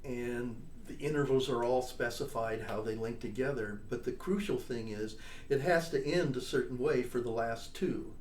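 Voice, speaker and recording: A man's voice: medium pitch, normal speed. Older man telling stories in an echoey room. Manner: chatty storyteller, tags points with "all right?" and "you know?". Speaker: interview guest, trombonist and composer. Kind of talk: casual storytelling interview. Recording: echoey room, distant mic, faint noise.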